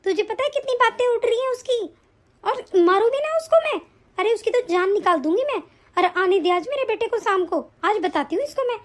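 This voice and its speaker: A woman's voice: very high-pitched, animated, normal speed. A woman playing a spiteful, scheming, hot-tempered mother-in-law in a family melodrama, scolding and complaining. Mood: angry, threatening. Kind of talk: domestic family melodrama acting.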